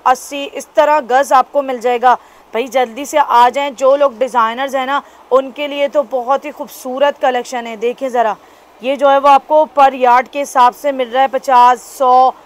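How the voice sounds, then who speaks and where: A bright, high-voiced woman with a Karachi Urdu accent hosts a shopping vlog. She talks with gushing enthusiasm, repeating prices and praise as she moves through a busy clothing shop. She records on a phone held close. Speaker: shopping vlogger. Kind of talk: upbeat shop-tour vlog.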